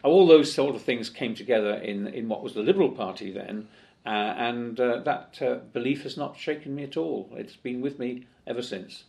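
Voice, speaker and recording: A man's voice: medium pitch, moderate pace, gravelly, darkish tone. An older man from Wales, talking as a guest in a conversational interview, chatty and full of fillers and restarts. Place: office in Parliament.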